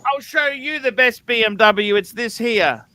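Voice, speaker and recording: Bright, high-pitched man, opinionated. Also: a little slow, dry recording. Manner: opinionated, insistent, sweary. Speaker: livestream host. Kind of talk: casual livestream chat about cars and watches.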